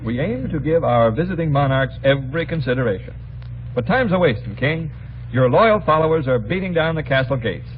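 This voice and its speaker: A man's voice: on the high side, lively intonation, fairly quick. A man hosting an old-time radio variety show with scripted banter and grandiose, mock-courtly phrasing. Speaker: radio show host and announcer. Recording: muffled old radio recording, faint noise.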